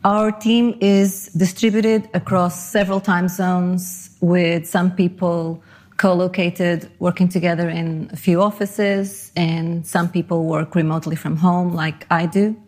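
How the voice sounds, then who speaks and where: A woman's voice: fairly low pitch, steady tone, unhurried, a little mellow. A middle-aged woman from Portugal and Panama giving a conversational, self-deprecating conference talk. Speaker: conference speaker.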